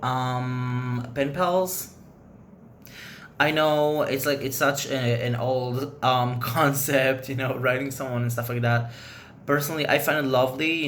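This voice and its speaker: A man's voice: mid-range voice, a bit bright, a little hiss or hum. A man whose first language is Hebrew, chatting casually to camera as a vlogger, rambling loosely and giving frank opinions.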